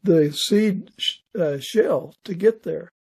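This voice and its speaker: A man speaking as a podcast guest in casual, reflective conversation, thoughtful and a little halting. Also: high voice, gravelly, darkish tone, slightly rough.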